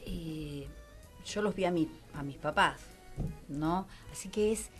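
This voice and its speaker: A woman, a guest in a radio studio who speaks slowly and softly. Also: deep voice, faint music behind. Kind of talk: casual radio interview. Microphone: studio mic with headphones.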